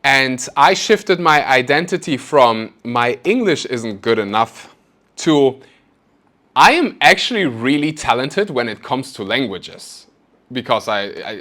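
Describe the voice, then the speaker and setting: A man whose first language is German, hosting a podcast interview in a casual, animated way and telling personal stories with self-deprecating humor. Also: medium pitch, unhurried. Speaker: podcast host.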